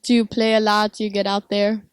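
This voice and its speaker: A woman's voice: medium pitch, little pitch movement, brisk, clean tone, dry recording. Woman interviewer with short, direct questions.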